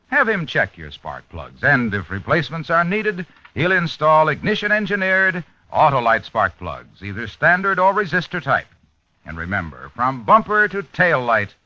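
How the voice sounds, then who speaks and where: Forceful 1950s radio announcer man. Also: fairly high pitch. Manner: emphatic, polished, salesmanlike. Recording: dull, lo-fi vintage radio broadcast.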